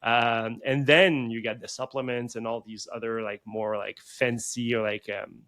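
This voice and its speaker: Male chiropractor guest, 30s, mid-pitched, loose rambling podcast talk full of "like" and restarts. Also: steady tone, a little slow, fairly bright tone. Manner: rambling, many fillers and restarts. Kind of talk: conversational podcast interview.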